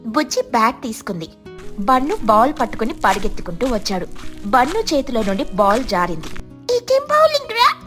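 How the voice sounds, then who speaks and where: A woman's voice: fairly high pitch, expressive, a little fast, a bit bright, faint music behind. A woman reading scripted narration for a children's cartoon story.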